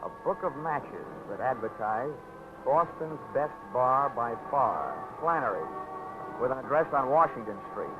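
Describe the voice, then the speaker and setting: A man's voice: medium pitch, animated, unhurried, close to an everyday voice. An actor playing a dry, wisecracking insurance investigator who narrates his own case in a 1940s radio drama. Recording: dull old radio broadcast with music.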